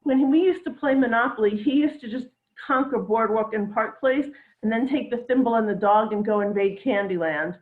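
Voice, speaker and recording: A woman doing a stand-up set from home, with a dry, deadpan delivery. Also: a little high, fairly quick. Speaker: stand-up comedian. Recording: tinny echoey phone-like line.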